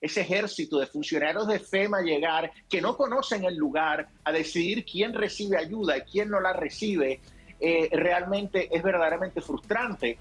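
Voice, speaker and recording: A man with a Puerto Rican accent giving opinionated political commentary as a panel analyst. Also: sits high. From Puerto Rico.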